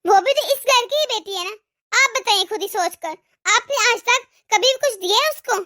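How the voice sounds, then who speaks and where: A young daughter-in-law in a cartoon family tale , acted by a woman, with a tiny, extremely high voice. She speaks respectfully but stands her ground with pointed questions. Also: normal speed, sharp tone. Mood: hurt, indignant. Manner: pleading, argumentative, respectful address. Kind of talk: animated family drama dialogue.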